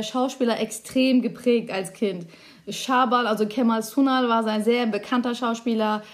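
A woman in comedy telling how she got into acting, in a loose, chatty way with slang. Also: on the high side. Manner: lively, casual storytelling with slang. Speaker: comedy actress. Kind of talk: casual interview answer.

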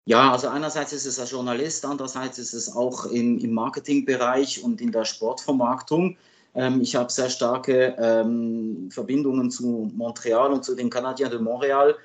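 A man's voice: mid-range voice, fairly even intonation, normal speed. Hesitant Swiss man in his fifties, native French speaker. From Switzerland. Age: 50s. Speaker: sports journalist and guest expert. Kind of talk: conversational interview answers.

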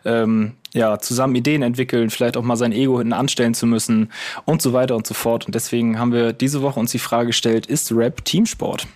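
A man's voice: medium pitch, fairly even intonation, fairly quick, darkish tone. A man co-hosting a podcast, introducing and explaining things in casual conversational speech. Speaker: podcast co-host.

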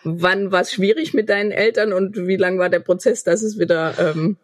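A woman hosting an interview podcast over a video call, chatty and quick to laugh, with frequent restarts. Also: medium pitch, steady tone, no room sound, clean studio sound. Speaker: podcast host. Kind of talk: casual interview chat.

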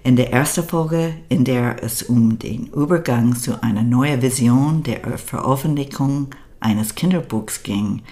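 An older American woman with a very deep voice speaks slowly and deliberately, her accent clear as she hosts a podcast. She reads a prepared monologue in an even, measured way. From United States. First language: English. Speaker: podcast host.

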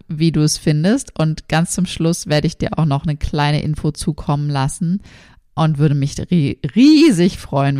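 A woman's voice: low-pitched, warm tone, no room sound, studio quality. A woman from Berlin hosting a podcast interview, chatty and upbeat, full of fillers and restarts. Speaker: podcast host. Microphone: remote call, host side.

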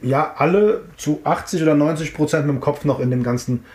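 A man's voice: on the high side, fairly quick, darkish tone. Rambling middle-aged man, roomy echo. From Frankfurt area, Germany. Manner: rambling, many fillers and restarts. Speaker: interviewee, hip-hop artist. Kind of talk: casual reminiscing interview.

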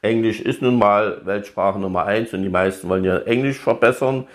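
A man's voice: on the low side, normal speed. A man working as a study-abroad advisor, hosting an advice podcast and explaining things in a chatty way, speaking directly to students.

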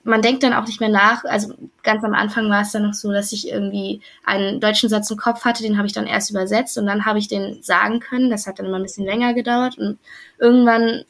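A young woman from Germany in her twenties recounts travels in a relaxed interview. She talks freely and loosely, full of fillers and self-corrections, at an ordinary middle pitch. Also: fast talker, creaky. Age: 20s. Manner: chatty, many fillers and restarts. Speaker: returning exchange student. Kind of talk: casual interview.

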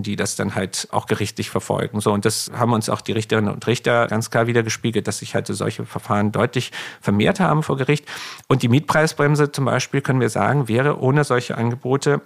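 A man's voice: mid-range voice, steady tone, fairly quick, vocal fry. A man being interviewed as a guest, explaining legal topics at length while thinking aloud with fillers.

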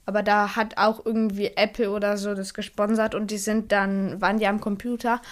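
A woman's voice: mid-range voice, little pitch movement, a little fast. A woman co-hosting a casual podcast, talking hesitantly with many fillers. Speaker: podcast co-host. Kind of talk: casual chat.

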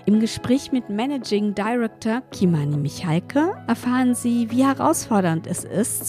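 A woman hosts a business podcast in a friendly, upbeat way, with a pitch that sits in the middle of her range. Music plays clearly beneath her voice. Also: dark tone. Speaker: podcast host and PR lead.

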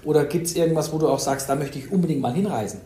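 A man's voice: fairly high pitch, fast, mellow, reverberant. A man hosting an interview, asking chatty questions and rephrasing them when needed. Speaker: interview host.

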